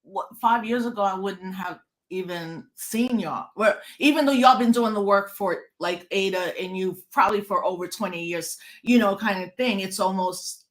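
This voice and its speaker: A woman hosts a casual interview conversation. Her voice sits in a middle pitch, and she speaks in a conversational, affirming way, clearing her throat now and then. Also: moderate pace, few pauses, rough recording. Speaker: host.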